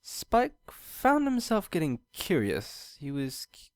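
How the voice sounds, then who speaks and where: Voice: medium pitch, wildly swooping pitch, takes it slowly, no room sound. A narrator reading a scripted fan fiction story aloud.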